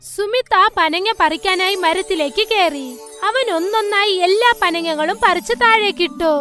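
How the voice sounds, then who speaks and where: A woman's voice: very high voice, normal speed, pushed and bright. A woman narrating a scripted children's fairy tale as storyteller. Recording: faint music under the voice.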